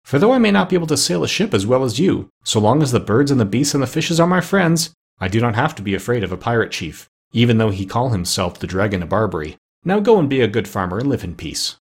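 A man voicing a kindly but firm middle-aged male doctor who speaks gently and sternly. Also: mid-range voice, very animated, fast talker, husky.

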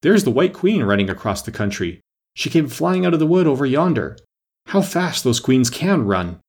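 A man's voice: sits high, a little fast, gravelly, a bit bright, slightly rough, voice pushed up, full character voice. A man voicing a curious, polite, eager little storybook girl with an earnest, questioning manner. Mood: excited.

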